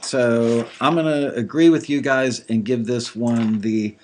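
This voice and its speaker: A man with a slightly gravelly voice giving casual live commentary on listeners' songs, thinking aloud with lots of fillers. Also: mid-range voice, steady tone, measured pace, noisy background. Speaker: music industry reviewer critiquing listener songs.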